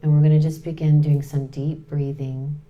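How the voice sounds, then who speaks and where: A woman leading a guided meditation in a soothing, unhurried way, pausing between short phrases. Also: deep voice, flat delivery, muffled recording, soft background music.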